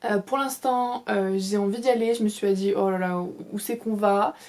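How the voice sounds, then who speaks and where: A woman's voice: mid-range voice, fairly even intonation, normal speed, a little mellow. A young woman chatting casually about her reading in a vlog, enthusiastic and full of fillers and restarts. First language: French. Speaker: book vlogger.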